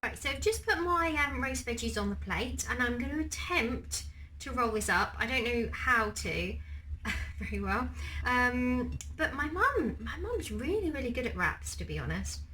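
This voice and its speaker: A woman's voice: on the high side, very animated, moderate pace, pushed and bright, slight background noise, rough recording. A young woman with a slight cold, chatting casually in a cooking and eating vlog, rambling with many ums and restarts. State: has a cold, slightly wheezy. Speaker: vlogger.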